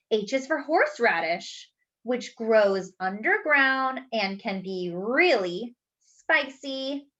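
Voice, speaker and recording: A woman with a high, swooping voice reads a picture book to small children. She goes slowly, stressing words carefully and slipping in playful asides. The recording is slightly dull and lo-fi. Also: fairly bright tone. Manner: exaggerated, deliberate enunciation with playful asides to children. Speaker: children's read-aloud host, teacher-like. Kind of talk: animated picture-book read-aloud for young children.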